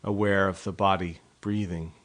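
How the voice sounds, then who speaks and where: A man leading a guided meditation in a calm, measured, unhurried manner with long pauses between phrases. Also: deep voice. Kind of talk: guided meditation, slow instructional narration.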